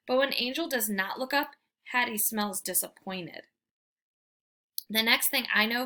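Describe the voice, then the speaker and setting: Woman doing a kids book read aloud, bright voice, really expressive and emphatic. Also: a little high. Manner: animated, emphatic, theatrical delivery. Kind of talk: expressive children's book narration.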